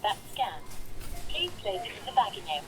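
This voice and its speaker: A woman's voice: mid-range voice, sing-song, a little fast, noisy background, pitched below the performer's own voice, close to an everyday voice. A woman voicing a polite, impersonal self-checkout machine in an audio roleplay, giving clipped automated announcements.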